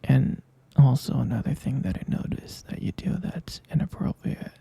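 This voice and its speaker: A man playing a mature stepfather in an ASMR roleplay, speaking in a breathy, husky half-whisper with a hesitant, confiding and concerned manner. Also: medium pitch, expressive, normal speed, mellow, dry recording, heavily acted. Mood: reproachful, confiding.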